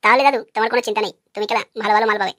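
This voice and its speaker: Cartoon young rascal, male, voiced by a woman: scheming, foul-mouthed, extremely quick delivery, mid pitch. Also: fairly even intonation, a bit bright, no echo, studio quality, natural voice. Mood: cajoling. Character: brash, lewd, scheming young male prankster. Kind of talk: comic cartoon dialogue.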